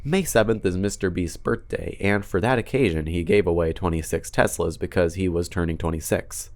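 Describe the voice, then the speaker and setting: A man's voice: low voice, fairly quick. A man narrating scripted commentary in a casual, dryly sarcastic way with some swearing. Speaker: video essay host.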